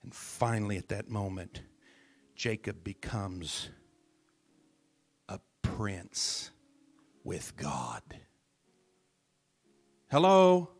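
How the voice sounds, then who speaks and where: A man's voice: lowish voice, wildly swooping pitch, very slow, long pauses, faint music behind. A man preaching a sermon as minister at a church service, dramatic, dropping his voice to near whispers for emphasis and calling out to the congregation. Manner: calls out to the congregation.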